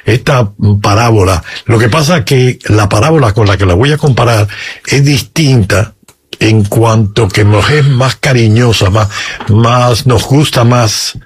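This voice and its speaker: Guy priest talking through the gospel, husky voice, medium pitch, asks listeners stuff. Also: measured pace. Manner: explanatory, puts questions to listeners. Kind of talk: conversational religious talk with scripture reading.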